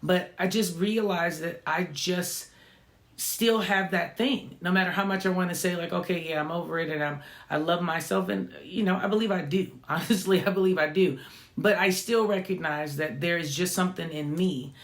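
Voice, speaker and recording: A woman of about fifty with a low voice she calls not feminine, hosting a reflective vlog and talking conversationally, often tagging lines with "right?". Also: normal speed. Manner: conversational, often adding "right?" tag questions. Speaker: channel host. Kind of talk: reflective motivational vlog talk.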